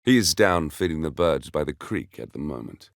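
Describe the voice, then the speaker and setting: A man voicing a composed, middle-aged mercenary handler in a video game, giving mission briefings in a measured, dryly understated way. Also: a bass voice, animated, no room sound, clean studio sound. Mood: thoughtful, scheming.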